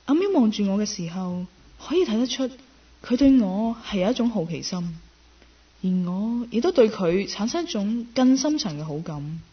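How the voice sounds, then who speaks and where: A woman's voice: mid-range voice, animated, moderate pace, slightly rough. A woman acting a self-possessed career woman in a radio drama, speaking with a Hong Kong Cantonese accent as narrator and character. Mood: reflective, admiring. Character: self-possessed career woman, fashion shop keeper, quietly smitten. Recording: dull lo-fi audio, music underneath. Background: background music.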